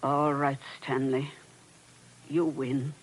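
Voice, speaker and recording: An actress playing a protective, indignant, strong-willed aunt, emphatic and forthright, in 1940s radio drama style. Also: female voice, rumbling low, level tone, slow, husky, heavily acted, poor audio. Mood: grim.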